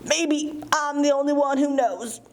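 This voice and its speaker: A woman's voice: high-pitched, unhurried, darkish tone. A woman playing a grumpy, folksy animal schemer in a children's puppet show. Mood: mock sorrow. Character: slow-witted, grumpy, conspiring puppet animal, friend of a fox.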